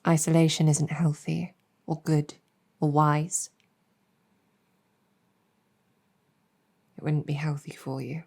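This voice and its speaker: A woman playing a gentle, earnest, world-weary demon general in an ASMR roleplay, speaking softly and reassuringly with measured hesitation. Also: sits low, level tone, vocal fry. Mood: gently persuasive. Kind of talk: improvised ASMR roleplay storytelling.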